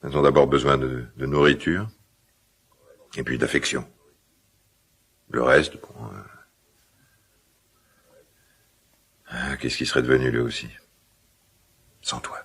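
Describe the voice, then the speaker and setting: A middle-aged actor playing a gruff but tender, old-fashioned father in a naturalistic radio drama, speaking in halting, musing phrases. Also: a bass voice, steady tone, fairly bright tone, heavily acted. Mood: affectionate, musing. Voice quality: gravelly, husky.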